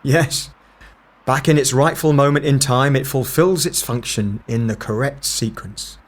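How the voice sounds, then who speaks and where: A man acts a male time agent in an audio drama, with a medium-pitched voice. He is serious and analytical, speaking with terse, matter-of-fact authority. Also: a little slow, natural voice. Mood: explanatory.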